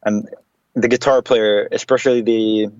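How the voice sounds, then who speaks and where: A man's voice: on the low side, flat delivery, moderate pace, darkish tone, no echo, highs cut off. A man talking casually as a podcast guest, rambling with many fillers.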